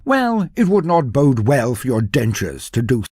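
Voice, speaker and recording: A man's voice: medium pitch, big pitch swings, unhurried, darkish tone, husky, dry recording, studio quality. A man delivering dramatic scripted fantasy narration in a grandiose, wry manner with ornate old-fashioned phrasing. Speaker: storyteller, narrator of fantasy war lore.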